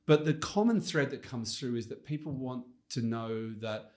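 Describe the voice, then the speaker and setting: A man's voice: mid-range voice, normal speed, darkish tone. A man hosting a podcast interview, enthusiastic and effusive, often hesitating and restarting his sentences. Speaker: podcast host. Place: studio in Johannesburg.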